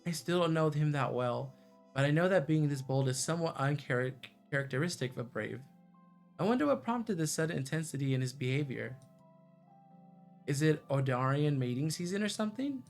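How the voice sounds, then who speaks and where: A man's voice: on the high side, lively intonation, fairly quick, vocal fry. A man streaming a visual novel, reading its narration aloud and reacting live. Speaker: game streamer. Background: game music.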